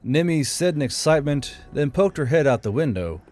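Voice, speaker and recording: A man's voice: on the high side, sing-song, brisk, darkish tone. A man reading scripted fantasy narration as an audiobook narrator. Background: background music.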